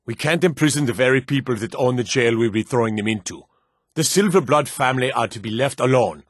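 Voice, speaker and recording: A man voicing a gruff, wary city guard in a fantasy video game, stern, clipped and world-weary. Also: mid-range voice, expressive, a little fast, no room sound, clean studio sound, natural voice. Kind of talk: video game character dialogue.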